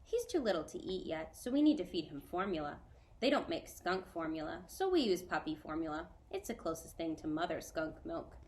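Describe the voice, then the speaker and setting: A woman's voice: fairly low pitch, lively intonation, brisk, natural voice. Woman reading as a patient, kind storybook mom. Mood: calm. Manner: patient and gently reassuring. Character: calm kind mother caring for a baby skunk.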